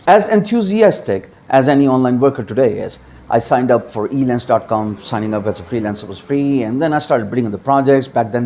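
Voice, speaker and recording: A man in his forties from Pakistan speaks straight to camera in a small home studio, sharing how he built his career. His delivery is lively and emphatic, with sudden pitch jumps on key words and plenty of uh fillers. A small lapel mic keeps his voice close. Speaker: freelancer and YouTuber. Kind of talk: direct-to-camera personal story.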